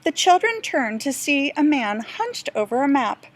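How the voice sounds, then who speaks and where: A woman reading a children's storybook aloud like a teacher, with deliberate, expressive storytelling. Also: sits high, dry recording.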